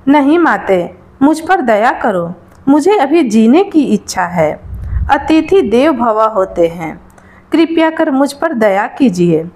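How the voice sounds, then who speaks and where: Small magical female bird played by a woman storyteller: high, slow, polite and imploring, storybook style. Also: big pitch swings, a little mellow, full character voice. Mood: pleading. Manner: formal, reverent, imploring.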